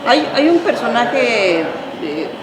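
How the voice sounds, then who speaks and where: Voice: medium pitch, very animated, slow, a crowd in the background. An interviewer hosting a conversational interview and putting questions to a guest. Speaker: interviewer, host. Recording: echoey room with clear background noise.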